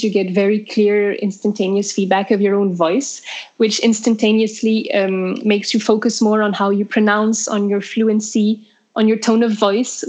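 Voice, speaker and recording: French-accented woman explaining a product, mid pitch, lots of uh and um. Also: a little mellow. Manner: explanatory, lists points with fillers. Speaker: product representative. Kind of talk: interview answers.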